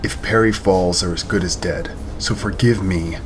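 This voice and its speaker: A man's voice: deep voice, moderate pace, background noise, close to an everyday voice. A man playing an anxious, serious crewman with a subdued, hesitant manner in a sci-fi audio drama. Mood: subdued, worried. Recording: music behind voice.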